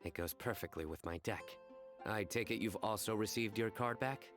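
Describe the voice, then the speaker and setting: A composed male card player from a video game, acted by a man. His voice sits on the low side, reserved, with music clearly playing behind it. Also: normal speed, close to an everyday voice. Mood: calm, inviting. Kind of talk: video game character acting.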